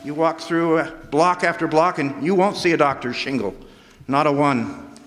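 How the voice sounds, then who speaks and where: An actor playing an anxious, earnest hospital administrator in a radio drama, halting and stammering as he speaks. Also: a little high, fairly bright tone, faint music behind, close to an everyday voice. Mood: earnest, relieved. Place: live stage performance in a hall. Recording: echoey live hall.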